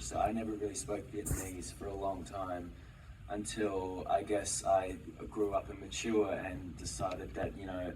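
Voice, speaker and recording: A man on a stage panel gives a reflective, hesitant answer, filled with "uh" and "um". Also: low-pitched. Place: large theater, Q&A on stage. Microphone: audience member's camera from the seats. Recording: distant, noisy audience camera recording.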